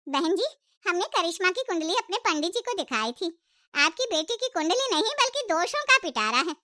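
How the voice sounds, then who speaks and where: A woman's voice: very high-pitched, sing-song, moderate pace, sharp tone, no echo, studio quality, voice pushed up, exaggerated voice. A woman voicing a blunt, superstitious middle-aged mother of a groom, formal and disapproving, in a cartoon story.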